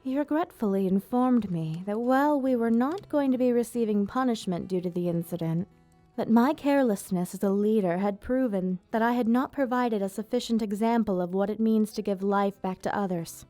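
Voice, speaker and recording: A woman playing an elegant vampire ruler, proud yet tender, speaking in formal, measured phrases in an ASMR roleplay. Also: medium pitch, mellow, faint music behind, close to an everyday voice. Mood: grave, disdainful. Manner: formal, measured, theatrical diction. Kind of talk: ASMR roleplay, scripted monologue to listener.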